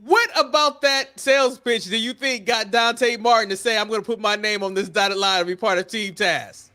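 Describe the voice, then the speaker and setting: A man's voice: sits high, steady tone, normal speed, sharp tone, clear, resonant tone. A man hosting a wrestling podcast in casual panel chat, steering the talk with his co-hosts. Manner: reads out viewer comments, puts questions to co-hosts.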